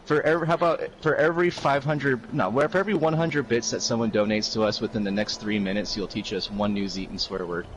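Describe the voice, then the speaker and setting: A man's voice: on the low side, steady tone, quick pace, hardly any pauses, slight background noise, rough recording. A man hosting in a casual livestream banter style. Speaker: stream host relaying audience chat.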